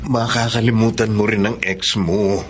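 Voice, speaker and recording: An actor playing a bewildered, pleading boyfriend in a radio drama. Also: male voice, on the low side, steady tone, normal speed, natural voice. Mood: bewildered, insistent. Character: Art, bewildered boyfriend pleading to stay together. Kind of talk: Tagalog radio drama acting. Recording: noisy vehicle ambience behind the voice.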